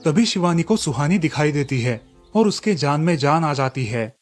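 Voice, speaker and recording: A man's voice: on the high side, expressive, normal speed, faint music behind. A man reading scripted storybook narration for a cartoon tale.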